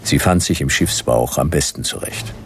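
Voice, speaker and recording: An actor playing a hard-boiled detective who narrates his own story in clipped, deadpan noir radio drama style, with a gravelly voice. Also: a bass voice, moderate pace, soft background music. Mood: dry, laconic. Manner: deadpan, dry.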